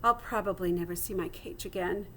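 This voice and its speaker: A woman's voice: on the low side, brisk, slight background noise, voice pushed down, close to an everyday voice, rough recording. A woman voicing a small, plucky male hamster who reads his diary aloud theatrically and earnestly, as in a children's storybook. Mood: gloomy, melodramatic. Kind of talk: storybook read-aloud in first person.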